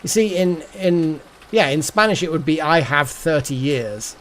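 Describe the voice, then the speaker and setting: A man's voice: fairly high pitch, measured pace, a bit bright, slight background noise. A man in his thirties chatting casually on a live game stream, rambling and repeating words as he muses aloud.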